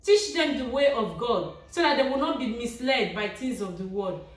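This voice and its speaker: A woman delivers an evangelistic sermon as an urgent, repetitive plea, emphatic and imploring. Her voice sits fairly high. It reaches the microphone from some distance, awash in strong room echo. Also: expressive, moderate pace. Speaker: evangelist preacher. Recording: very echoey room, distant from mic.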